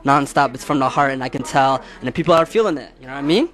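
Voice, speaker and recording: A man's voice: mid-range voice, rapid-fire, a crowd in the background. Male interviewer, easygoing questions, mid-range voice, listens more than he talks. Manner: calm, many soft "mm-hmm" replies. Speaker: interviewer, show host. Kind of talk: casual interview.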